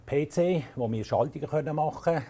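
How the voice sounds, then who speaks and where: A man's voice: a little high, quick pace, dark tone, slightly rough. A man with a Swiss German accent, a traffic control operator casually explaining his work to a presenter. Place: traffic control centre indoors.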